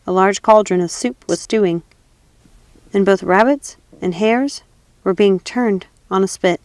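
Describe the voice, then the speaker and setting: A woman's voice: mid-range voice, unhurried, a little mellow, no echo. A woman narrating a classic fairy tale as a storybook reader.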